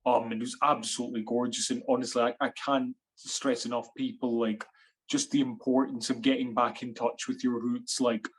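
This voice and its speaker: A man's voice: medium pitch, brisk. A man in his twenties, from Sri Lanka, with a Scottish accent, rambling animatedly as the guest in a casual interview. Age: 20s. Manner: animated, rambling, many fillers and restarts. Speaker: guest actor and writer.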